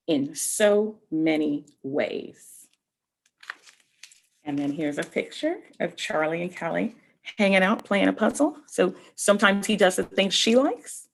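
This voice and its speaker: A woman reading a picture book aloud to children, explaining things simply with asides and questions in a slightly husky voice. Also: lowish voice. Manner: expressive, explaining simply and addressing young listeners with questions. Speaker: read-aloud host for children.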